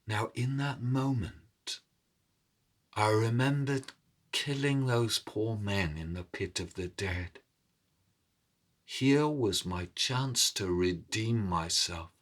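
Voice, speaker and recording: A man telling a tale in a deliberate, suspenseful, theatrical storytelling style. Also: lowish voice. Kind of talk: dramatic oral storytelling, scripted narration. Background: musical instrument.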